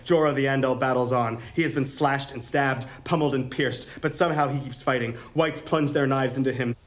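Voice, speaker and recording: A man's voice: medium pitch, steady tone, brisk. A man narrating script directions in a dramatic reading at a table read. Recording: room with faint noise and crowd.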